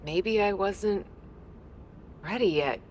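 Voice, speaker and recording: A woman's voice: mid-range voice, takes it slowly, darkish tone, a little hiss or hum, lightly acted. An actress playing a headstrong young female pro wrestler in a video game cutscene, wry and sincere. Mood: sincere, tender.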